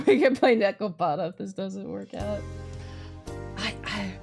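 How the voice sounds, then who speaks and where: A woman's voice: medium pitch, unhurried, vocal fry, a little mellow. A woman streaming a game with casual, exclamatory live commentary, often repeating her phrases. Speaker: streamer playing a visual novel. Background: game music.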